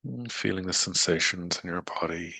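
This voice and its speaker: A man leading a guided meditation in a soothing, unhurried manner with long pauses and gentle coaxing, his voice breathy and gravelly. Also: low voice, no echo, rough recording.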